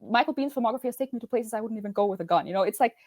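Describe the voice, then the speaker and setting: Austrian woman guest, lively and high, quick to laugh, dry video-call sound. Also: no room sound. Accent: Austrian German. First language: German. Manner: bubbly, laughs often, many restarts and fillers. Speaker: podcast guest. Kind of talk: casual podcast chat over video call.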